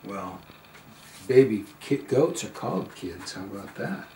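Older man reading slowly, very animated. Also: fairly low pitch, a little hiss or hum. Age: older, around 70. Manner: slow, singsong, playful calls with quieter asides. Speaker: grandfather reading a picture book aloud. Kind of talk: expressive children's storybook read-aloud. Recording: roomy, a little far from the mic.

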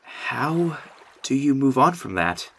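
A man voicing an anxious, guilt-ridden young goat monster prince in an animated comic dub, with a hesitant, expressive delivery. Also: medium pitch, slow pace, a little hiss or hum, full character voice. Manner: hesitant, self-doubting, expressive. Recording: music behind the voice.

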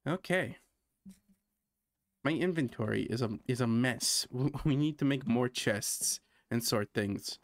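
A man's voice: medium pitch, unhurried, dry recording. A man from Canada chatting casually while streaming a building game, thinking aloud and poking fun at himself. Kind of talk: casual gameplay commentary.

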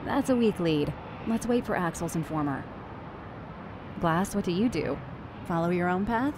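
A woman's voice: lowish voice, expressive, moderate pace, background noise, close to an everyday voice. A woman playing a cool, pragmatic mercenary team leader in a video game, speaking in a controlled, matter-of-fact way. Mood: guarded, businesslike. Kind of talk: video game cutscene voice acting.

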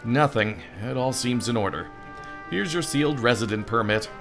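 A man's voice: mid-range voice, normal speed, music in the background, lightly acted. A man voicing a grumpy, exasperated male harbor captain who resents paperwork, in an adventure game. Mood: grudging.

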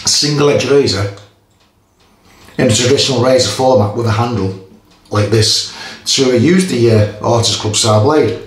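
Middle-pitched Geordie man in his fifties, easygoing shaving vlogger, roomy bathroom sound. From Newcastle area, England. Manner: chatty, stop-start, pauses between phrases. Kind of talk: casual chat while shaving. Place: small tiled bathroom. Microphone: camera in front of face. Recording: echoey bathroom, faint noise.

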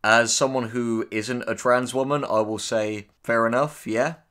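A man's voice: mid-range voice, fairly even intonation, a little slow, fairly bright tone. A man co-hosting a casual reaction commentary, blunt and opinionated in how he talks. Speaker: co-host, writer reading out and reacting to tips.

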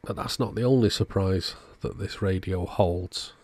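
A man's voice: sits low, measured pace, darkish tone, dry recording. A man narrating a hands-on electronics repair video in a casual way as its host.

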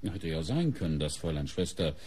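An actor playing a coolly suspicious police inspector in a German radio crime play, questioning in a measured, deliberate way with pointed pauses. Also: male voice, very deep voice, very animated, darkish tone, slightly rough, exaggerated voice. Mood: suspicious, insistent.

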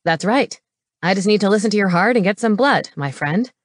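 A woman voicing an easygoing young male medic in an audiobook, brisk and matter-of-fact. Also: medium pitch, animated, no echo, studio quality, close to an everyday voice. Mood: reassuring, brisk. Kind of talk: paranormal romance audiobook character.

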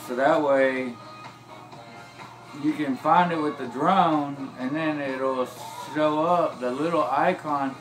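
Man, easygoing rambling gameplay guide, slightly high voice, filmed by phone with game music behind. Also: slow. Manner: relaxed, rambling, drawled, says y'all. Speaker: gameplay tips narrator. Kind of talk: casual gameplay walkthrough. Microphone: phone filming a TV screen.

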